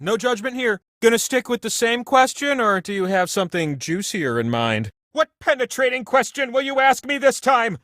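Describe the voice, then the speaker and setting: A man's voice: very high-pitched, pushed and bright, no echo, clean studio sound, heavily acted. A man voicing a giant talking wheel, a goofy and pompous video game show host who is theatrical, chatty and playful. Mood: curious coaxing.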